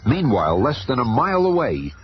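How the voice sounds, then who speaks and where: A man's voice: medium pitch, huge pitch swings, a little fast. A man narrating a 1970s superhero radio serial as a dramatic comic-book storyteller. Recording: loud music bed, old radio show.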